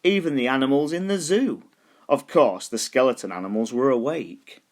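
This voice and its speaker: A man reading a children's story aloud as scripted narration, deliberate and expressive, stretching words for emphasis. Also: medium pitch. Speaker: storybook reader.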